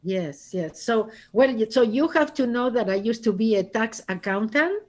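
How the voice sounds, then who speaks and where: Woman, warm guest with laughter. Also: a little high, expressive, moderate pace. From Argentina. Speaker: interview guest, author.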